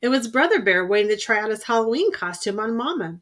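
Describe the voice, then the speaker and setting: A woman reading a children's picture book aloud in an expressive storytelling style. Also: fairly high pitch, quick pace. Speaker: storybook reader.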